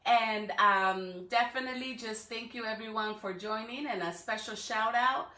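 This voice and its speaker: A woman's voice: a little high, moderate pace, bright, forceful tone. A woman speaking expressively to young students as a school educator doing a storybook read-aloud. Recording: echoey room.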